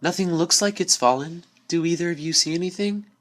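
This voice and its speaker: A man's voice: a little high, a little fast, a bit bright, pitched above the performer's own voice, close to an everyday voice. A man voicing an anxious, hesitant young female unicorn pony in a story reading. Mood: uneasy. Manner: uneasy, hesitant.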